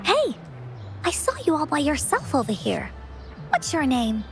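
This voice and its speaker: A cheerful little girl from a video game, chirpy and talking about herself by name. The voice sits very high and bounces up and down with lively swings. Game music plays clearly behind her. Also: a little slow, heavily acted. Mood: friendly. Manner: bubbly, refers to herself by name. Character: cheerful childlike girl who talks of herself in third person.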